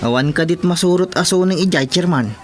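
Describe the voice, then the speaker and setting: A man's voice: high-pitched, fairly quick, loud music behind the voice, lightly acted. A man playing a touchy village councilor, sidekick to the chairman, in a rural radio comedy drama. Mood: reporting.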